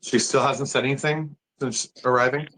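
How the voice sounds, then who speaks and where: A man acting a measured, skeptical hospital doctor in a screenplay table read, with a dry manner. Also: mid-range voice, steady tone, fairly bright tone, husky, poor audio.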